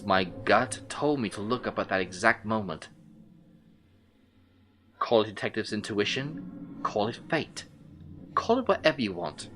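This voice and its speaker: An actor playing an intent, unsettled male detective, recounting his case in brooding, deliberate first-person audio drama narration. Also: on the low side, fairly bright tone.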